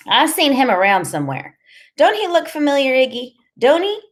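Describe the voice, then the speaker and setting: A woman's voice: high-pitched, sing-song, moderate pace, voice pushed up. A nosy, slangy biker woman from a storybook, put on by a woman narrator. She wheedles in a colloquial way, with a raised voice that swoops up at questions. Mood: puzzling. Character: squinty, smoking, nosy biker woman, timid before boyfriend.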